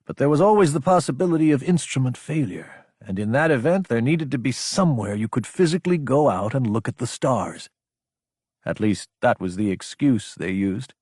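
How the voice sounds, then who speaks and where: A man's voice: mid-range voice, expressive, moderate pace, a little mellow, husky, no room sound, clean studio sound. A man reading scripted fiction as an audiobook narrator, in a dramatic storytelling style.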